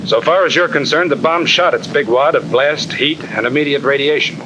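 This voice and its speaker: An actor playing a tough-talking, slangy army sergeant who lectures in a 1950 military training film. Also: male voice, on the high side, normal speed, highs cut off, noisy background, natural voice. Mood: blunt, reassuring. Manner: blunt, slangy, wisecracking. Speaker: instructor.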